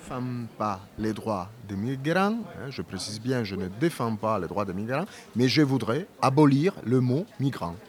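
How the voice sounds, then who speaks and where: A man working as a live interpreter, rendering a speaker's words in the first person in a measured, slightly hesitant way. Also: medium pitch, lively intonation.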